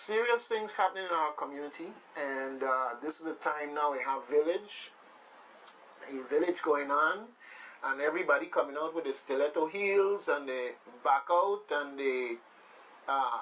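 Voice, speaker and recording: A man's voice: a little high, a little slow, fairly bright tone. A man hosting a radio talk show in a casual, rambling, emphatic way, often repeating phrases. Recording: slightly distant mic with faint noise.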